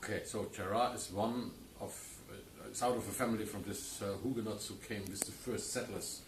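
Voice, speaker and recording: Halting wine reporter, far from the mic. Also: male voice, fairly low pitch, fairly even intonation. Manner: hesitant, halting, with many fillers. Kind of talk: casual interview. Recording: roomy, distant mic, faint background noise.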